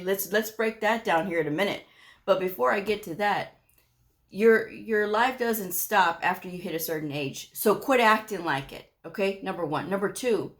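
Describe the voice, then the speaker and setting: Middle-aged woman vlogger, mid-pitched voice, blunt and scolding, no-nonsense advice. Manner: frank, scolding, emphatic. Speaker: medical coding vlogger.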